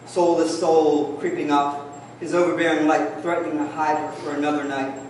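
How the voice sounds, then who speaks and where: A man reading his poem aloud in a measured way, his voice fading softer toward the ends of lines. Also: on the high side, level tone, a little hiss or hum. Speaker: poet reading his work. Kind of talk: poetry reading. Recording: echoey room from a distance.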